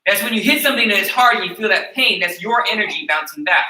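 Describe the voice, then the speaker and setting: A high, very bright American male voice leads a martial arts class, questioning students and checking that each point landed. He is picked up from a distance in an echoey training hall. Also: expressive, fast. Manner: instructive, checks in with "okay?" after each point. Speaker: taekwondo instructor. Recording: echoey room recorded from a distance.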